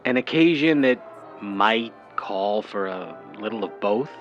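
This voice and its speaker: An actor playing a slick, devoted old-time talent agent with slangy, careful patter, in a vintage radio drama style. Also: male voice, lowish voice, lively intonation, unhurried, dull audio, soft background music. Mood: brisk, teasing.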